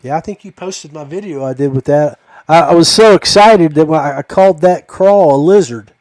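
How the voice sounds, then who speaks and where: An older man hosting a fishing podcast, talking casually in a halting, rambling way. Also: fairly high pitch, a little mellow, no echo, low-quality recording.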